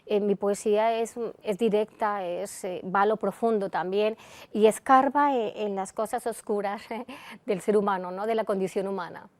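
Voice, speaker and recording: A middle-aged woman from Colombia answers interview questions about her life and her poetry. She thinks aloud, restarting phrases and trailing into fillers. Her voice sits at a middle pitch and sounds close and dry, with no room echo. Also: steady tone, normal speed. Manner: reflective, many fillers and restarts. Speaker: poet, interview guest. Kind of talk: conversational interview.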